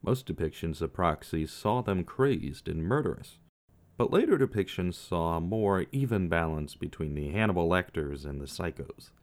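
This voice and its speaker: A man giving scripted true crime narration, measured, with casual asides to the viewer. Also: deep voice, expressive, a little mellow. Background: faint guitar music.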